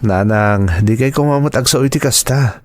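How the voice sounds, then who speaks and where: An earnest young man in an audio drama, played by a male actor, speaks with a medium-pitched voice. He comes across as devoted and respectful, a sincere son and sweetheart. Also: normal speed, natural voice. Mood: earnest, pleading. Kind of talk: dramatized audio story acting.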